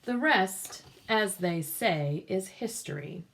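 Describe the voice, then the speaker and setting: A woman's voice: mid-range voice, expressive, vocal fry, a bit bright, a little hiss or hum, exaggerated voice. A woman voicing a sly, self-justifying wolf who tells his story with dramatic emphasis in a children's storybook read-aloud. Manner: dramatic, drawn-out emphasis, conspiratorial asides.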